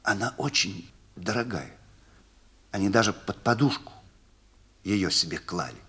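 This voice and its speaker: A man voicing an awkward, reluctant young man who speaks haltingly, as if with difficulty. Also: lowish voice, very animated, bright, forceful tone, husky, pitched below the performer's own voice, heavily acted.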